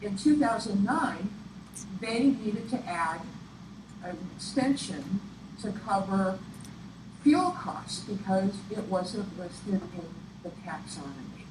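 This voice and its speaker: A woman presenter giving an instructional presentation in a deliberate, explanatory way. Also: mid-range voice, expressive. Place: echoey room, picked up from afar. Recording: echoey, distant room recording with clear noise. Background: clear room noise.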